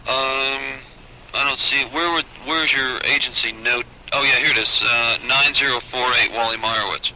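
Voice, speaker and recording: Male booking agent, very sharp forceful tone, hesitant delivery, vintage phone recording with faint noise. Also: medium pitch, fairly even intonation, normal speed. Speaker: booking agent on a business call. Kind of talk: business phone conversation. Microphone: telephone. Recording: noisy old taped phone call.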